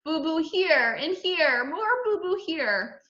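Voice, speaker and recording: A woman voicing a babyish little boy from a storybook, speaking in short, drawn-out phrases. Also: extremely high pitch, sing-song, bright, forceful tone, reverberant, dull audio, pitched above the performer's own voice, full character voice. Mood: pitiful. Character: whiny lisping toddler boy, excited then wailing.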